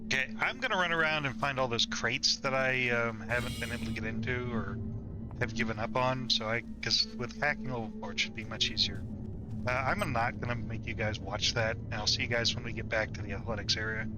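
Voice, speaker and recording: Man thinking aloud over loud music. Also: mid-range voice, a little fast, rough recording. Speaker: game streamer. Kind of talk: casual gameplay commentary.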